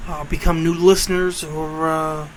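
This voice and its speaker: A man in his late thirties hosting an internet radio show, talking off the cuff in a casual, halting monologue. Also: on the high side, little pitch movement. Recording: clear background noise.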